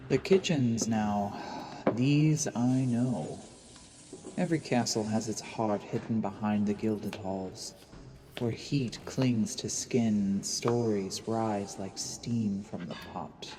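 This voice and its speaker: An actor playing a sly assassin posing as a charming nobleman, narrating an audio drama in a courtly, measured, theatrical way. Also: lowish voice, noisy background. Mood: brooding, calculating. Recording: long reverb, music underneath.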